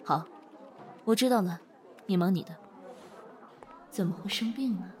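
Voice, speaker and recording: Female boss for office audio drama, played by a woman: assured and caring, medium pitch, lightly teasing. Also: a little slow, a little mellow, slight background noise, natural voice. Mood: calm, caring. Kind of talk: Chinese audio drama acting.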